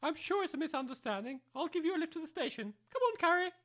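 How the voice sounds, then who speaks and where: A woman's voice: sits high, expressive, quick pace, a bit bright. A woman playing a pompous, snobbish new-age academy head in an amateur comedy audio drama, speaking precisely in lofty jargon. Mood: conciliatory.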